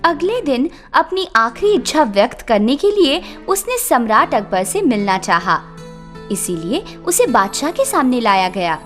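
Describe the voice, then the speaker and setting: A woman's voice: a little high, sing-song, measured pace, a bit bright. A woman narrating a scripted story for children. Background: background music.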